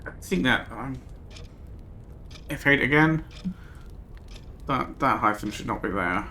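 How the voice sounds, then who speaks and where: A man streaming live, reading an interactive fiction game aloud in measured narration, with quick remarks about typos in the text. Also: medium pitch, expressive, bright, forceful tone, slight background noise.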